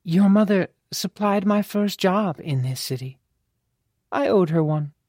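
A woman's voice: mid-range voice, lively intonation, a little slow, a little mellow, no echo, studio quality, voice pushed up. An audiobook narrator voicing a polished courtesan, a woman who speaks in a formal, arch and guarded way. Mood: cool, guarded. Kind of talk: audiobook character dialogue.

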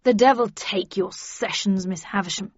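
A woman's voice: mid-range voice, takes it slowly, no room sound, muffled recording. Woman acting a sneering, brooding Gothic hero. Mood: angry, arrogant. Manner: sneering, angry, grandiose. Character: menacing, arrogant, brooding male Gothic romantic hero.

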